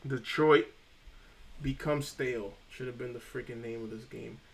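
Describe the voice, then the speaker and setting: A man's voice: medium pitch, steady tone, normal speed, vocal fry, poor audio. A man chatting casually on a live stream about game trophy lists, rambling and saying "freaking" often.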